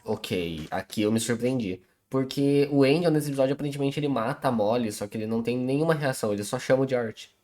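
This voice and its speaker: A man's voice: mid-range voice, fast talker, hardly any pauses, a little mellow. A teenage young man with a Brazilian accent, giving casual explanatory commentary as a video essayist. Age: teens. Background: prominent music.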